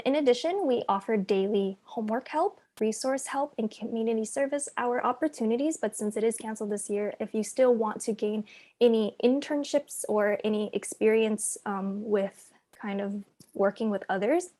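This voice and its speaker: A woman's voice: a little high, a little mellow. A woman speaking as an after-school program coordinator, giving friendly information to students with frequent ums over a video call.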